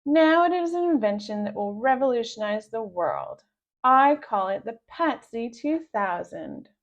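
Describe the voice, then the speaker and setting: A woman's voice: fairly high pitch, sing-song, a little slow, muffled recording. A woman voicing a nerdy, self-important boy inventor who speaks pompously and precisely in a children's storybook. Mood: proudly boastful. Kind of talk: children's storybook read-aloud.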